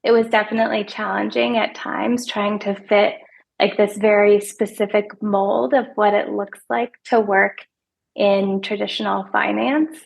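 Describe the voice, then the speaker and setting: A soft-spoken woman in her thirties, a financial advisor answering interview questions in a hesitant way, often repeating words as she thinks. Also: medium pitch, level tone, a little slow, gravelly. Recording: podcast remote interview.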